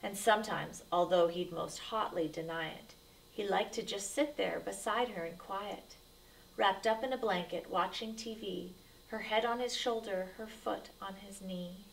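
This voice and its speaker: A woman's voice: medium pitch, a little slow, vocal fry, distant mic, slight background noise, low-quality recording. A woman reading a rhyming picture book aloud to children as a storyteller, with dramatic, emphatic delivery.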